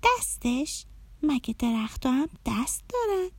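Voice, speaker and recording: A woman's voice: high-pitched, expressive, dark tone, no room sound. A woman voicing a curious, wide-eyed little girl in a children's story, asking lots of questions.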